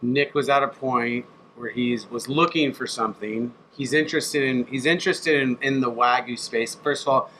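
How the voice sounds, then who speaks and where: A man with a bright, forceful voice talks loosely and swears often, slipping into other people's voices to tell a story. He speaks at an everyday middle pitch. Faint ambient noise sits behind him. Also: steady tone, normal speed, few pauses, low-quality recording. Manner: swears freely, acts out other people's messages. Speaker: chef and brand founder. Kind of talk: casual interview talk.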